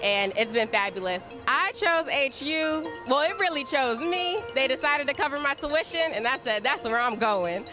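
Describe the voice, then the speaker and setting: A woman's voice: high voice, lively intonation, moderate pace, hardly any pauses. Upbeat young woman over music. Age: young adult. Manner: upbeat, enthusiastic. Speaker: engineering student. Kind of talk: casual interview. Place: exhibition hall. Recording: music playing under voice.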